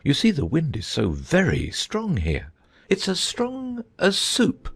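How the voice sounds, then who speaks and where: A man playing an eccentric, earnest, bumbling old knight in a dramatized audiobook, with a halting, fussy delivery. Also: on the high side, wildly swooping pitch, slightly rough, heavily acted. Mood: earnest, proud. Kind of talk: dramatized audiobook character acting.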